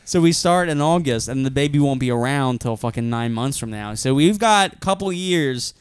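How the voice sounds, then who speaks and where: A man's voice: mid-range voice, lively intonation, normal speed, smooth, no echo. A man in his late twenties co-hosting a comedy podcast, laughing and protesting good-naturedly as he is teased. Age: 20s. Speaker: podcast co-host. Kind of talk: casual comedic banter.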